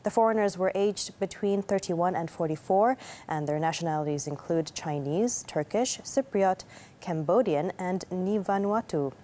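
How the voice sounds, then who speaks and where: A woman's voice: fairly low pitch, moderate pace, warm tone. A woman reading scripted news as an anchor.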